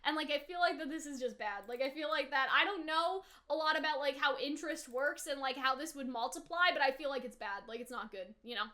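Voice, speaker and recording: A woman giving a casual, rambling anime reaction in a chatty, animated, slangy way, often wandering off on tangents. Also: high voice, fairly quick, few pauses.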